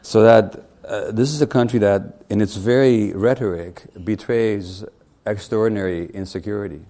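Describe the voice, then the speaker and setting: A man in his sixties from Hungary gives a public lecture, his voice low-set and mellow. He talks in a wry, conversational way, often turning to the audience with questions. His delivery is that of a physician speaking plainly to a crowd. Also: steady tone, moderate pace.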